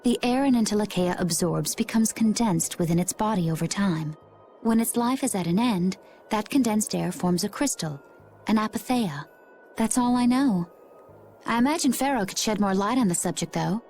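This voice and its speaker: A woman's voice: mid-range voice, expressive, normal speed, darkish tone, faint music behind, close to an everyday voice. A woman playing a serene, composed, mysterious young woman in a fantasy video game cutscene. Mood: serene, explanatory. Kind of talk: English game cutscene voice acting. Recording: faint ambient noise behind.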